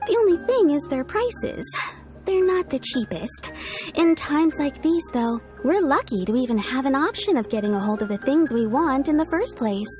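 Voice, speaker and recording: An actress playing a courteous, chatty kimono shopkeeper in a video game, obliging and practical. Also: female voice, high voice, expressive, normal speed, music in the background. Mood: helpful.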